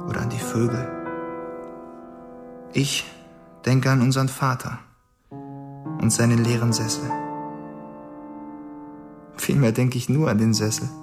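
A man playing a proud, inventive schoolboy in a measured, introspective radio drama monologue. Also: medium pitch. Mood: proud, brooding. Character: proud, restless schoolboy, inventive older brother, solitary. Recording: clear music behind the voice.

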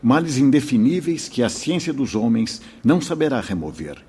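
A man with a Brazilian accent playing a wise, fatherly priest and spirit mentor in a radio drama, speaking in a measured, solemn, didactic way. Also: mid-range voice, big pitch swings, darkish tone, breathy edge. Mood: grave. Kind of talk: Portuguese-language radio drama acting.

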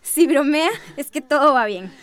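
An actress playing a helpful young woman assisting at a birth in a radio soap, brisk and reassuring. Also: sits high, lively intonation, fairly bright tone.

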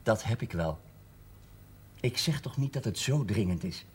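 A man's voice: fairly low pitch, lively intonation, a little slow, slight background noise. A man acting in a television drama as a prickly, sarcastic, defensive man with a biting manner. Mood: irritated, defensive. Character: prickly, sarcastic man, a mining company employee.

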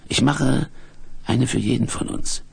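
A man acting a big, gruff, protective hermit with a gravelly, husky voice in a 1970s German radio play, speaking in an unhurried, commanding way. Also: medium pitch, exaggerated voice. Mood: calm, decisive. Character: big red-bearded hermit, gruff, protective secret father.

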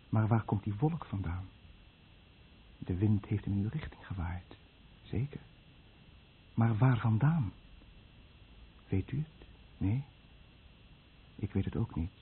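An actor playing a guilt-ridden Japanese fisherman in a radio drama, speaking solemnly and deliberately as he warns his listeners. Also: lowish voice, close to an everyday voice. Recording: old radio play, tinny narrow band, faint noise.

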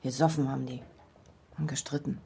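A woman's voice: flat delivery, fairly quick, warm tone, full character voice. An actress playing a forthright middle-aged widowed landlady in an intimate radio play. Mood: confiding. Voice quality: low, husky, creaky. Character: forthright widowed landlady, fond of rum tea.

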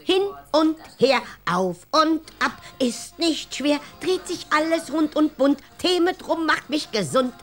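A tiny magical granny for a children's radio play. The voice is very high and swoops around, with slow, childlike, clipped phrases. It whines and chants in sing-song rhymes. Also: female voice, animated, soft background music. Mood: giddy, sing-song. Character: tiny childish grandma hatched from an egg.

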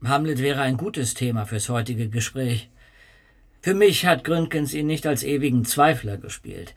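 An actor playing a cultivated, eloquent society gentleman in a radio play, telling anecdotes with literary relish. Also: medium pitch, moderate pace, close to an everyday voice.

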